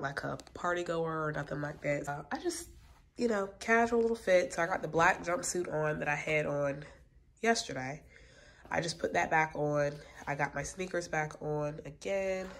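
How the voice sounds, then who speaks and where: A woman's voice: low voice, a bit bright. A woman in her late twenties chatting casually to her phone camera in a hotel room, rambling with lots of fillers and sounding stuffy and congested. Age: late 20s. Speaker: vlogger. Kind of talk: casual vlog chat to camera.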